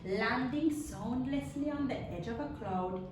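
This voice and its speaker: A woman reading a children's story aloud theatrically, stressing and stretching words for her listeners. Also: a little high. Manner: theatrical, with emphatic drawn-out words and questions to listeners. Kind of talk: dramatic read-aloud story narration. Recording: echoey room, distant mic, faint noise.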